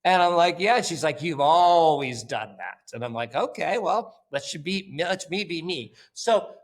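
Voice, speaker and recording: A man's voice: fairly high pitch, animated, a little slow. A man, a company founder guest on a podcast, talking bluntly and animatedly, swearing casually and acting out bits of conversation. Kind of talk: casual interview.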